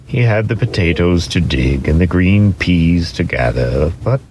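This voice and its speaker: A man narrating a children's storybook as an expressive, theatrical dramatic reading. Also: low-pitched, a little slow, slight background noise.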